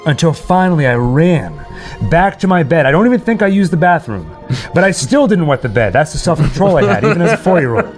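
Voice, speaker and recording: Male streamer, higher-pitched and animated, theatrical storyteller, casual chat with music behind. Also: brisk. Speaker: livestream host.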